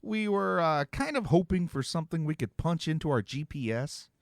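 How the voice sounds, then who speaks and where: A man's voice: a little high, expressive, moderate pace, vocal fry, no echo, studio quality, voice pushed up. A man doing a sheepish, hesitant young guy.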